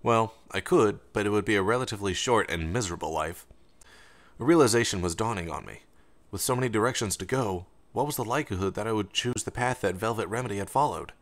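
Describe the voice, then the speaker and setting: A man reading first-person fiction as an audiobook narrator, in a measured, dramatic way. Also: lowish voice.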